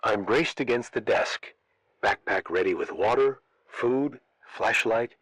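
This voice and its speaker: Man as low-voiced emergency radio broadcaster. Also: lively intonation, slow pace, fairly bright tone, husky, no echo, exaggerated voice, poor audio. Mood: grave, urgent. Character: male radio broadcaster holed up in shaking studio during outbreak. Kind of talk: scripted emergency broadcast narration.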